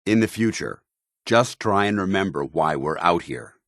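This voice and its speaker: A very deep, gruff military paladin. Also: male voice, animated, normal speed, fairly bright tone, no room sound, studio quality, exaggerated voice. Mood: indignant. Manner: earnest, clipped military formality. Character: stern, loyal, zealous military paladin, gruff man. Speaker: companion soldier character. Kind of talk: video game voice acting.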